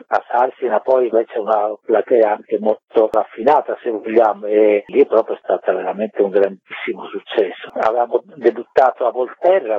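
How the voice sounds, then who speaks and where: Husky man on a narrow phone line. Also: medium pitch, dry recording. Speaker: theatre director, interview guest. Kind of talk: interview answers, conversational. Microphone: telephone. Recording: thin, muffled telephone line, husky signal.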